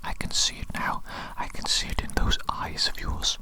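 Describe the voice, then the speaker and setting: Breathy whisper, ASMR roleplay, doting mad doctor, soft deliberate delivery, soothing repetition. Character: doting, eerily excited evil mad doctor. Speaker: ASMR performer.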